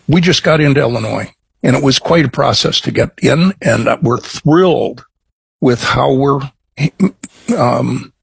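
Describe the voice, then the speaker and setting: A middle-aged man from Chicago with a Chicago accent, chatting as a guest in an interview, halting with many restarts and fillers. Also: medium pitch, gravelly, husky, dry recording. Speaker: craft distiller guest. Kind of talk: casual interview chat.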